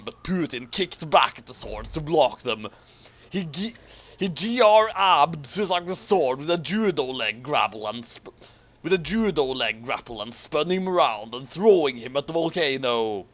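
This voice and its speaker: Higher-pitched man reading aloud, amused. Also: expressive, normal speed. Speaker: host reading a fan fiction aloud with commentary. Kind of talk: dramatic read-aloud mixed with casual commentary.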